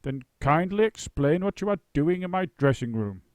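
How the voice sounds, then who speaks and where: A man voicing a pompous, gruff older male stage actor who booms dramatically. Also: expressive, moderate pace, a little mellow, dry recording, studio quality, natural voice. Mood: booming.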